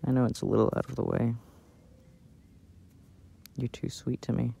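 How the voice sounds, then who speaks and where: A man doing a casual, intimate ASMR boyfriend roleplay in a soft-spoken, playful way, recorded outdoors. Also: mid-range voice, flat delivery, unhurried, gravelly. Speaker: audio roleplay performer playing a boyfriend. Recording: outdoor recording with background noise. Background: outdoor ambience and noise.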